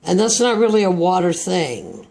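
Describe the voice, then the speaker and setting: A man's voice: high voice, sing-song, gravelly, a little mellow, a little hiss or hum. A man with a Texas accent giving a tarot card reading in a meandering, reflective way. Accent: Texan. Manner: slow, meandering, reflective delivery. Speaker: tarot reader.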